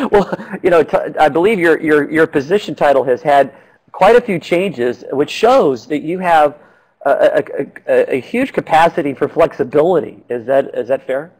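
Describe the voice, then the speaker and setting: A man's voice: fairly high pitch, animated, normal speed, a little mellow, low-quality recording. Rambling man hosting a webinar. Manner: rambling, stumbling, many uh fillers. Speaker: webinar host.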